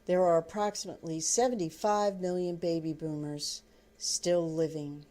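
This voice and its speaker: An older woman reading her own book aloud as storybook narration, in deliberate, halting phrases. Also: on the low side, fairly even intonation, warm tone.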